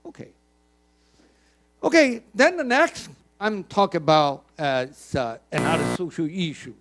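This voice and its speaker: A man's voice: fairly high pitch, wildly swooping pitch, unhurried, fairly bright tone, faint music behind. Emphatic older man giving a keynote. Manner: emphatic, questioning the audience, many repeated words. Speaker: keynote speaker. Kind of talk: conference keynote speech. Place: conference hall.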